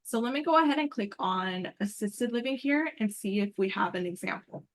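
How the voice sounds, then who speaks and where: Female meeting presenter, medium pitch, conversational and upbeat, explaining step by step on an informal online call. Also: animated, fairly quick. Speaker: meeting presenter and program coordinator. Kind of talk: informal work call presentation with screen walkthrough.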